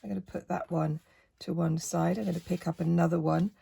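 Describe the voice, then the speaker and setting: A woman with a croaky voice, chatting casually through a painting tutorial and gently encouraging the viewer. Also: fairly low pitch, steady tone, fairly quick. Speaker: art tutor.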